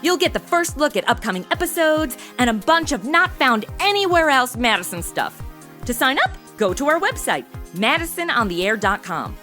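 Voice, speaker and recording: Woman playing Madison, bright sassy young sleuth. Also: sits high, very animated, moderate pace, soft background music, full character voice. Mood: chirpy, friendly. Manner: sarcastic, slangy, breezy. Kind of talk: comedy audio drama acting.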